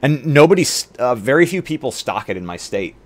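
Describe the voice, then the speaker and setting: A man streamer chatting casually on a livestream in a halting way, often restarting his sentences. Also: medium pitch, very animated, dry recording.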